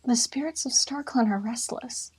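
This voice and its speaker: A female medicine cat, played by a woman, grave and caring, with a mystical unease. The voice is breathy and a little high, in the style of a storybook audio drama. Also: moderate pace, a little mellow. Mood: uneasy, caring. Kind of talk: acted fan audiobook dub.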